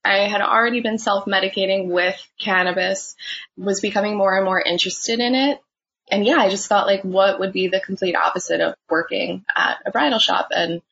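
A young woman who works as a budtender, answering interview questions in a relaxed, chatty way with lots of fillers. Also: medium pitch, creaky, breathy edge. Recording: dull lo-fi sound.

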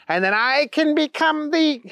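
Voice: high voice, lively intonation, a little slow, pushed and bright, no room sound, studio quality, pitched above the performer's own voice, exaggerated voice. A storyteller voicing a naughty, boastful male bunny villain who taunts and whines in a children's story. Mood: gloating. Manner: taunting, whining, exclaiming.

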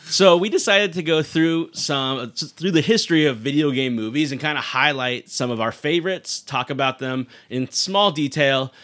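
A man's voice: on the high side, normal speed, hardly any pauses, a bit bright. A middle-aged man hosting a podcast in a casual, conversational style. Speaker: podcast host.

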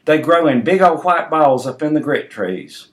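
A man's voice: a little high, lively intonation, normal speed, pitched above the performer's own voice, lightly acted. A man voicing a young waiter as a Southern bumpkin, with a thick Southern US accent and an earnest, matter-of-fact folksy drawl, in a memoir reading.

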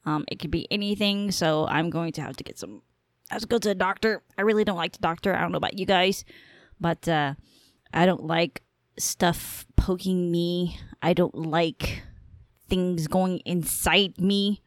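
A woman's voice: on the low side, moderate pace, no echo, studio quality. A young adult woman hosting a solo podcast, chatting candidly and rambling, coughing now and then from allergies. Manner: rambling, candid, swears casually. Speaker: podcast host. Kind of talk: casual solo podcast chat. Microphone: headphones on, home podcast mic.

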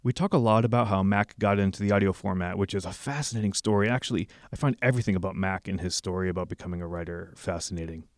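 Low-pitched man hosting an interview podcast. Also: fast talker, few pauses, no room sound, clean studio sound. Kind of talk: podcast introduction and conversational interviewing. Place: hotel lobby.

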